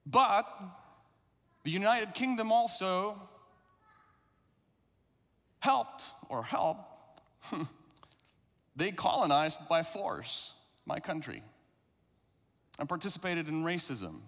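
A man's voice: high-pitched, sing-song, very slow, creaky, pauses often, a bit bright, in a large hall. A man from South Africa, English not his first language, preaching a sermon in a reflective, conversational way with a few self-deprecating asides. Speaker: pastor.

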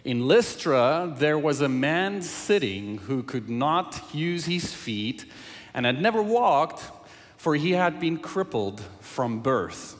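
A South African pastor, native Afrikaans speaker, preaching with a fairly high voice. He engages his listeners with lively questions, and his voice rings with the long reverb of a large hall. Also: slow. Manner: animated, engaging the audience with questions. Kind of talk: sermon with scripture reading.

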